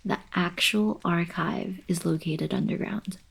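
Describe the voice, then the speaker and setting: A woman performs a playful young alien princess in an intimate ASMR roleplay. Her voice sits slightly low, husky and breathy, with a crackle of fry. She talks casually and softly, like a fond, teasing college girlfriend. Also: fairly even intonation, unhurried, full character voice. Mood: soft, wistful. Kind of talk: intimate ASMR roleplay, scripted monologue to the listener.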